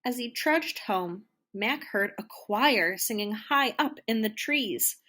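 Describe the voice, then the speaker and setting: A woman narrates a children's storybook expressively. Her pitch is lively and varied, and she takes her time, phrasing deliberately.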